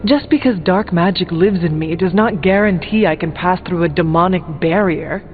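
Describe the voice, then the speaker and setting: An actor playing a resolute, guarded warrior in a video game, speaking in a serious, deliberate, blunt way. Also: male voice, sits high, soft background music, close to an everyday voice. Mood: challenging. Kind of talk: scripted video game dialogue. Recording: phone-like game audio, long hall reverb.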